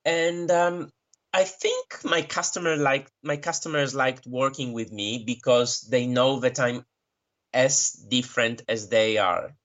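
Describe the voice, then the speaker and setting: A Polish man with a Polish accent answers interview questions at an unhurried pace. He thinks aloud, pauses often, and corrects himself mid-sentence. The recording is muffled and lo-fi. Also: medium pitch, a bit bright. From Poland. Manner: thoughtful, frequent pauses and self-corrections. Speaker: interview guest who works with migrants. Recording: dull lo-fi recording.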